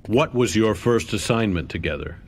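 Skeptical formal official grilling witnesses in a video game, lowish voice, pointed questions. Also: male voice, animated, normal speed. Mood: skeptical, probing. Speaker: video game supporting actor. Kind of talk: scripted game cutscene acting.

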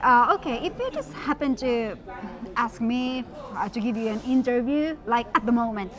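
A middle-aged woman from Finland, interpreting a guest's words in first person with steady, slightly formal explanation. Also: on the high side, moderate pace. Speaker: sign language interpreter voicing the guest. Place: outdoor courtyard at a busy event. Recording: outdoor event with crowd noise. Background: crowd chatter.

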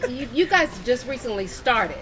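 Woman, show host, higher-pitched voice, upbeat interview chat, repeats phrases, large indoor hall. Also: expressive, moderate pace.

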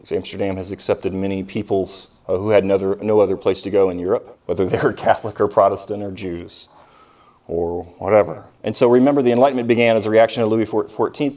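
A man's voice: fairly low pitch, a little mellow. An American man giving an informal history lecture as a professor, conversational and often putting questions to his class.

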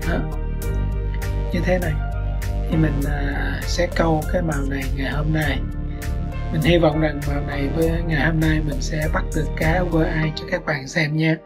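A man's voice: a little high, fairly even intonation, moderate pace, music in the background. A man with a Southern Vietnamese accent chats casually to viewers as a fishing vlog host, often addressing them directly. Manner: addresses viewers often, simple step-by-step explaining.

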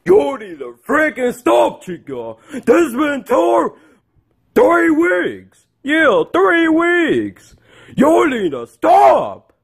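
A short-tempered scolding father in a homemade comedy skit, acted out by a man. He nags repetitively in a husky, slow, extremely animated voice that sits fairly high. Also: full character voice. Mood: angry, exasperated. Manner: nagging, repetitive, exasperated. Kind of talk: amateur improvised comedy skit.

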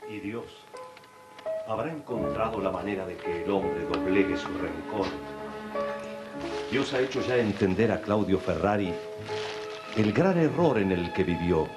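Very deep, solemn Argentine male narrator. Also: animated. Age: middle-aged. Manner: solemn, dramatic, measured phrasing. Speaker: radio drama narrator. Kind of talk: scripted narration, radio soap. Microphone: desk microphone, close. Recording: archive studio recording under loud music.

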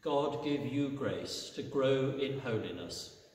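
A man in his sixties, a priest, leading solemn prayer and blessing in measured, formal phrases. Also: mid-range voice, lively intonation, darkish tone. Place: inside a church at the altar. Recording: echoey church, distant mic, background noise.

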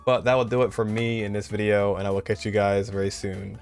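A man's voice: on the low side, flat delivery, fairly quick, over loud music. A man in his twenties giving casual, conversational commentary as the host of a game reaction video. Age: 20s.

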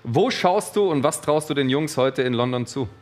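A male host presents in a TV studio, upbeat and lively, leading the questions. He speaks at an ordinary middle pitch into a headset microphone. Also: normal speed. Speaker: show host. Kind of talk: studio interview hosting.

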